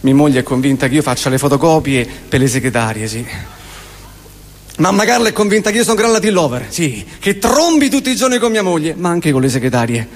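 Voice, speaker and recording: A Roman man in his forties delivers a comic theatre monologue in a fairly high voice. He leans on repetition and deliberate pauses, and his words ring out with the long reverb of a live hall. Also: very animated. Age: 40s. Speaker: stage performer.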